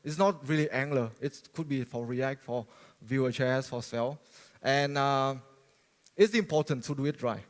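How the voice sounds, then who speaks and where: A man from Vietnam, giving a friendly technical conference talk, halting and full of fillers and restarts. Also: medium pitch. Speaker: conference speaker. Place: large conference hall. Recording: long reverb of a large hall.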